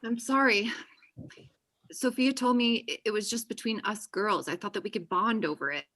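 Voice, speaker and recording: A woman's voice: medium pitch, normal speed, fairly bright tone, close to an everyday voice. A young woman acting a blunt, defensive friend in a table read over a video call. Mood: hurt. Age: young adult.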